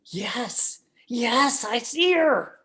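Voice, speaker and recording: Woman playing a timid, stammering henpecked husband, swooping pitch. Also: animated, very slow, fairly bright tone, heavily acted. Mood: weary, uneasy. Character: meek, henpecked, frightened husband. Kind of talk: old-time radio thriller acting.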